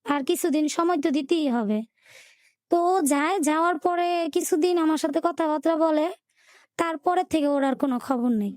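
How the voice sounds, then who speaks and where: A woman with a Bangladeshi Bengali accent telling her life story in an interview, in a colloquial, halting way with repeated filler words. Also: sits high, a little mellow, dry recording, clean studio sound. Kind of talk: personal storytelling in a radio interview.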